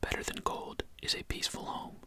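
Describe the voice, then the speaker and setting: A man hosting an ASMR video in a soft, unhurried whisper. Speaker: host of an ASMR video channel.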